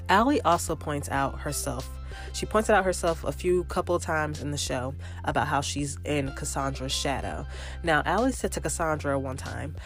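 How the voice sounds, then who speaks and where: Young woman, low-pitched, a bit stuffy-nosed, chatty solo podcast host over faint music. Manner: chatty and animated, with fillers and quoted asides. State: slightly congested from allergies. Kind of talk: casual solo podcast recap.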